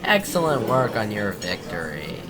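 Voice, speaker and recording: An actor playing a stubborn, trusting governor in a Bible audio drama, speaking with authority. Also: male voice, fairly low pitch, sing-song, a little slow, a crowd in the background. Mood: commanding, approving. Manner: authoritative, dismissive of warnings. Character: trusting, stubborn male governor giving orders.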